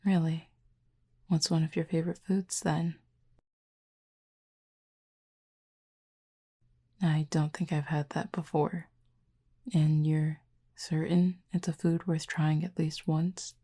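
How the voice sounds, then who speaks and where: A woman voicing a calm, curious cupid in an intimate audio roleplay, speaking softly and half-whispered with formal, measured, gently dry phrasing. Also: low voice. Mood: thoughtful, curious. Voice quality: breathy, soft, half-whispered. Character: calm, curious otherworldly cupid who feeds on feelings.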